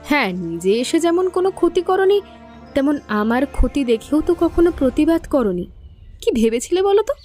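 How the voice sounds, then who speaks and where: An actress playing a defiant, scheming sister-in-law in an audio drama, taunting and threatening. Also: female voice, high-pitched, a little mellow. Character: defiant, cold, scheming married sister-in-law standing up for herself. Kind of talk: audio story drama acting.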